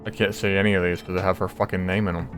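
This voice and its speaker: A man's voice: deep voice, level tone, very fast, music in the background. A man giving casual, sweary, grumbling commentary as he plays a game. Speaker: gamer commentating a playthrough.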